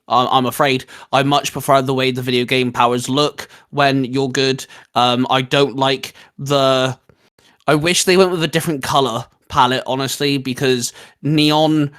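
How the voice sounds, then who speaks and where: A man chatting casually and giving emphatic opinions as a co-host, with halting, repetitive phrasing. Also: mid-range voice, fairly bright tone. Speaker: co-host ranking video game powers.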